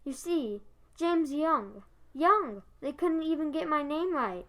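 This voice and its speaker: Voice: high voice, expressive, slow pace, fairly bright tone, exaggerated voice. A child of about ten acting as a proud but discouraged young husband in a school radio play. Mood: frustrated. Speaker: fourth grade student actor.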